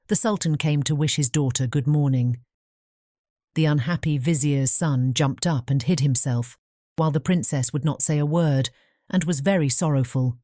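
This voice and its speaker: A woman reads a scripted storybook in a measured, formal way. Her voice sits very low and has a dark, mellow tone. The recording is close and dry. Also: no echo. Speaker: audiobook narrator.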